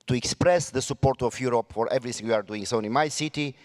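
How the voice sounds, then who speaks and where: A man with a Romanian accent, a mayor speaking on a panel, earnest and halting with many fillers and restarts. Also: mid-range voice, a little mellow, in a large hall. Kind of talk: panel debate remarks.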